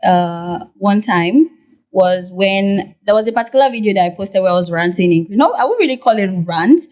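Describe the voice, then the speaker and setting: A young Nigerian woman with a Nigerian accent, answering interview questions in a measured way, thinking aloud as she goes. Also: mid-range voice, animated, darkish tone. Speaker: guest content creator.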